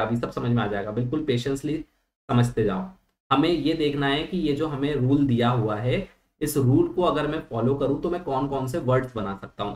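A young man teaching an online class in mixed with terms, patiently reassuring students and checking that they follow. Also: mid-range voice. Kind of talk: online lecture at a digital whiteboard. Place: small room indoors. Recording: roomy echo.

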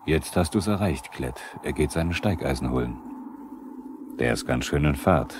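A really deep male narrator, sober and probing, old radio play, some record noise. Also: animated, moderate pace. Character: probing male narrator, the characters' inner questioner. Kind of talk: 1970s radio drama narration addressing the characters. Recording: noisy 1970s vinyl radio play.

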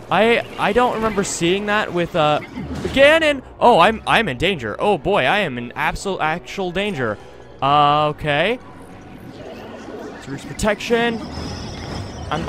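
A man's voice: sits high, fairly bright tone. A man giving casual gameplay commentary into a close desktop microphone, rambling as he talks himself through the action. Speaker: let's play host. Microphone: close desktop USB microphone. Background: game music.

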